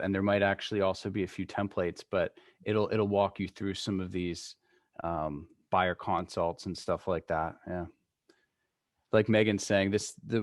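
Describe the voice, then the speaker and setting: A man with a lowish voice leads a casual online team training session. His manner is informal and agreeable, and the sound is close and dry. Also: no room sound. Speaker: real estate trainer co-leading a meeting.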